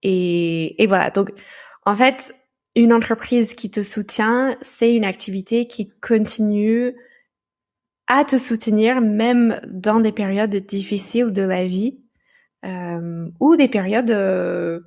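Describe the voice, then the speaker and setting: A woman from the United States speaks as a guest on a podcast, in a mid-range voice. She talks in a casual, thoughtful way, pausing and restarting her sentences as she works through her thoughts. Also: medium pitch, darkish tone. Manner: thoughtful, halting, with frequent restarts. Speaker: podcast guest, founder of a training company.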